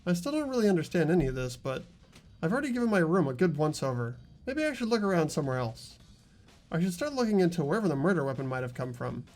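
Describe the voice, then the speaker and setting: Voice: medium pitch, very animated, fast talker, darkish tone, faint music behind, close to an everyday voice. A player voicing an anxious, earnest teenage schoolboy detective who wonders aloud in a visual novel game. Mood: puzzled, earnest.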